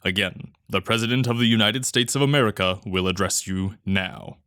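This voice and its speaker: An actor playing a formal announcer making an official emergency broadcast announcement in a radio drama. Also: male voice, lowish voice, moderate pace, sharp tone. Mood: formal, urgent. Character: formal male broadcast announcer introducing the President.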